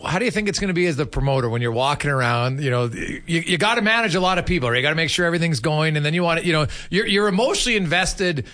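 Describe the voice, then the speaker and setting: Male sports radio host, slightly high voice, conversational and halting delivery. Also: lively intonation, bright, forceful tone. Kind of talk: casual sports interview.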